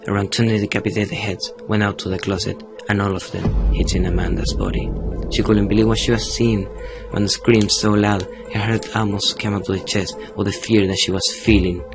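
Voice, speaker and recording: A young man with a Chilean accent narrates a horror story in a very deep voice. His scripted delivery is dramatic and suspenseful, like a storyteller in a radio play. Music plays clearly behind him. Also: little pitch movement, a little fast, few pauses. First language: Spanish. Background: prominent music.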